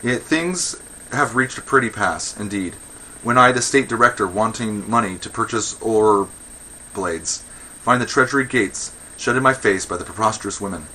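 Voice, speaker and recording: A man's voice: on the low side, moderate pace, sharp tone, slight background noise, low-quality recording. A man playing a pompous, indignant old Athenian magistrate in a verse comedy, declaiming with blustering contempt for women. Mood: pompous, exasperated. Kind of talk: verse comedy read aloud.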